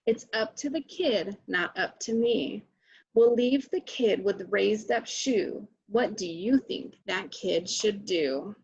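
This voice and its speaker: A woman hosting a children's storytime, reading and chatting deliberately and putting questions to young listeners. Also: medium pitch, gravelly, darkish tone, slightly rough, highs cut off. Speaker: children's librarian storytime host. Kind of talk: children's read-aloud and chat.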